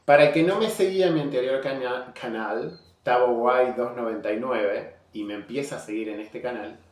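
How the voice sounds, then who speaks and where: An earnest young man with an Argentine Rioplatense accent speaks openly in a casual vlog monologue. His voice sits at an ordinary middle pitch, and he often stops to restart a thought. The room around him rings with a noticeable echo. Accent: Argentine Rioplatense Spanish. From Buenos Aires province, Argentina. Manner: candid, earnest, speaks in halting restarts and fillers. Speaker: vlogger.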